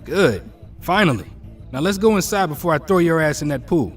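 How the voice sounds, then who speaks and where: A man's voice: on the high side, lively intonation, brisk, recorded from a distance, faint music behind, natural voice. A man playing a brash, teasing young superhero in an audio drama, blunt, slangy and loyal. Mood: teasing, pushy.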